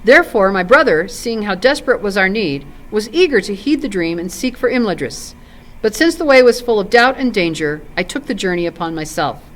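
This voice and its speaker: A woman's voice: mid-range voice, lively intonation, a little fast, close to an everyday voice. Proud, grave warrior man, read by a woman. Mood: earnest. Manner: formal, archaic, grave speech. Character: proud, doubting warrior man of noble house.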